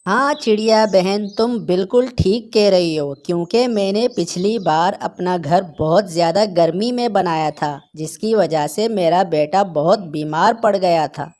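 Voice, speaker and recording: A woman's voice: lowish voice, a little slow, few pauses, a little mellow, close to an everyday voice. A woman voicing a wary, plain-spoken bird neighbour in a cartoon, firm and earnest. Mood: agreeable, earnest.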